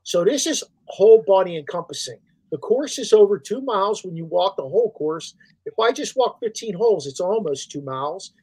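A middle-aged man with a notably high voice chats casually as a podcast host. He explains things informally in short, halting phrases over a video call from a room indoors. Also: lively intonation, darkish tone, husky, rough recording. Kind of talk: casual chat.

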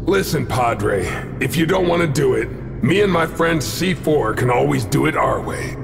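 An actor playing a cocky, wisecracking macho action hero in a video game, gravelly and flippant. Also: male voice, on the high side, lively intonation, normal speed, music in the background. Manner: flippant one-liners. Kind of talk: video game cutscene acting.

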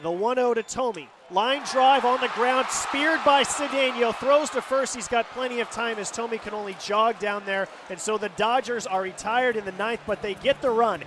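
High-pitched American baseball play-by-play man. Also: normal speed, few pauses. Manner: descriptive, calling action as it happens. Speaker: radio play-by-play announcer. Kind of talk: live baseball radio commentary. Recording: loud stadium crowd noise behind. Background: ballpark crowd and outdoor stadium ambience.

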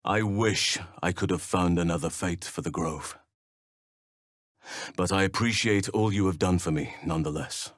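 A man voicing a noble, gentle-mannered archdruid in a fantasy video game, speaking in a measured, earnest, formal way. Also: deep voice, no room sound, studio quality, lightly acted. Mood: grave, measured. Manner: measured, earnest, formal, old-fashioned phrasing.

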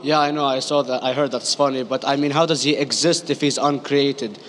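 A man's voice: medium pitch, steady tone, a little fast, fairly bright tone, slight background noise. A young man from Iraq asking a spontaneous question at a public lecture, hesitant and searching for words. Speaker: audience member asking a question. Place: audience floor of a huge indoor auditorium. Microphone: stand microphone.

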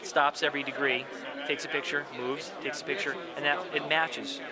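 A man's voice: on the high side, steady tone, normal speed. A man exhibitor explaining a scanning process step by step in technical terms. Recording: loud crowd noise behind.

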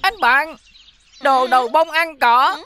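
A woman's voice: sits high, slow, over loud music. A woman voicing a cheeky little gingerbread boy in a children's cartoon, with a teasing, boastful sing-song delivery. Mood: mocking, boastful.

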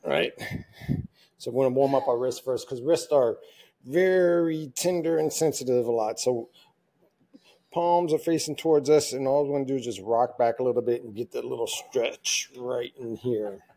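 A man's voice: mid-range voice, moderate pace, warm tone. A middle-aged man coaching a wrist mobility class in a home gym, giving cues and counting out holds, recorded by a camera some way off. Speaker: fitness coach.

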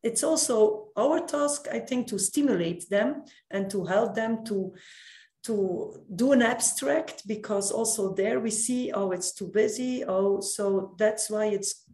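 A middle-aged woman speaking halting, non-native English as a guest in a panel discussion on a video call. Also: mid-range voice, a little mellow, roomy sound. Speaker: podcast guest, nurse.

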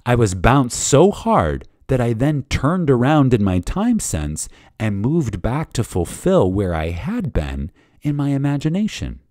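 A man reading a spiritual lecture dramatically, with reverence, emphasis and deliberate pauses. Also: medium pitch, wildly swooping pitch, a little mellow, no room sound, clean studio sound. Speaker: reader of a lecture.

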